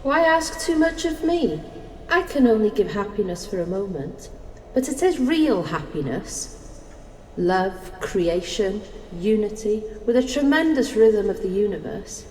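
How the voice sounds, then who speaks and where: A woman's voice: mid-range voice, sing-song, measured pace, a little mellow. Woman playing proud Life, echoey hall. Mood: earnest. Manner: earnest theatrical declamation. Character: Life personified, proud, hopeful, earnest woman. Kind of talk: stage-like audio drama acting. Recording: echoey hall, faint noise.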